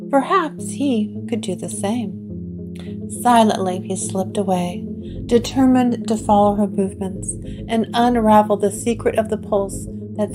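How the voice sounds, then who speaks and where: A woman narrates a gothic audio drama at an unhurried pace. Her delivery is deliberate and measured, with her voice sitting in a middle range. Guitar music plays clearly underneath her. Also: medium pitch, low-quality recording. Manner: slow, deliberate storytelling.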